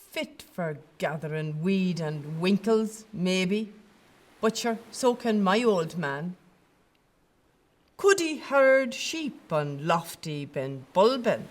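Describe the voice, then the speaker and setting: An old Irish countrywoman played by an actress in an audio drama, sly, earthy and scornful. She grumbles in colloquial Irish English at an unhurried, slow pace. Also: lowish voice, heavily acted. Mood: scornful, appraising. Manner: colloquial, sardonic. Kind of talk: audio play acting.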